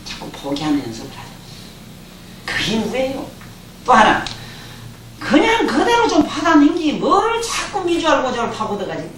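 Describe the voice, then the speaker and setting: A man's voice: very high-pitched, huge pitch swings, normal speed, long pauses. An older man with a Gyeongsang accent giving a Buddhist dharma lecture, folksy and joking, often asking his listeners questions. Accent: Gyeongsang dialect. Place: lecture hall. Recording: roomy hall, distant mic, faint hiss.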